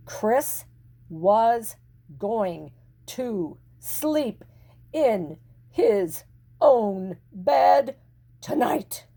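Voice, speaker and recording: An older woman narrating a children's picture book expressively, with deliberate dramatic emphasis and pauses. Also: on the high side, dark tone. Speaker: storyteller reading to children.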